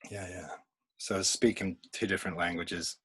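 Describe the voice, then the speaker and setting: Husky-voiced man, laughing often. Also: sits low, flat delivery, normal speed, fairly bright tone, no echo. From England. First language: Polish. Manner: rambling, laughs often between thoughts. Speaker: comics artist guest. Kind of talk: casual remote podcast interview.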